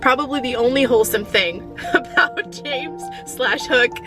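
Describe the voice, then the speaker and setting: A woman giving a casual, chatty book review in an expressive, opinionated way, recorded inside a car. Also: high-pitched, measured pace, music in the background.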